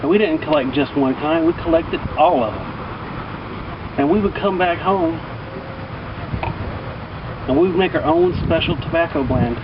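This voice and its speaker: A man's voice: fairly high pitch, moderate pace, long pauses. A man casually telling a rambling personal story in a folksy, colloquial, emphatic way. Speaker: vlogger telling personal stories. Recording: distant mic, muffled, loud outdoor traffic-like noise.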